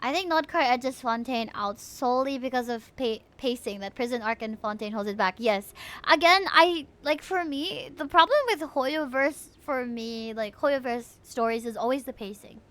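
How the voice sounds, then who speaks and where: A woman's voice: on the high side, moderate pace, fairly bright tone. A woman livestreaming a video game, thinking aloud and giving her opinions in casual chat.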